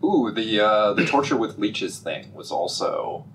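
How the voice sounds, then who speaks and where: A young man co-hosting a casual chat about a TV episode, animated and halting, full of "like" and restarts. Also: fairly low pitch, a little hiss or hum. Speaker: podcast co-host.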